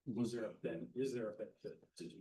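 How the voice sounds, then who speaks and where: A man's voice: on the low side, steady tone, fast, dark tone. A man, the class instructor, talking conversationally in a classroom and asking a guest speaker probing follow-up questions.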